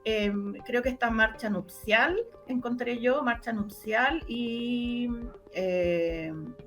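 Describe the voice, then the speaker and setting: A woman's voice: fairly high pitch, slow pace, a bit bright, faint music behind. A middle-aged Chilean woman speaking informally as an interviewee, often searching for words and repeating her phrases as she explains her research. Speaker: interviewee, researcher and former radio journalist.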